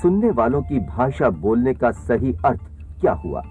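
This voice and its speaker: A man's voice: mid-range voice, animated, moderate pace, a little mellow. A man reading scripted instructional narration for a training film, posing questions and answering them. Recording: muffled soundtrack with faint music.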